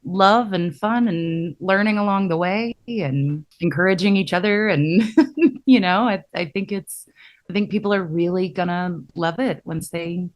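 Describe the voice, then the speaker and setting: Slightly low-voiced woman, casual promo chat. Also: a little slow, dull audio. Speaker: podcast speaker promoting a project.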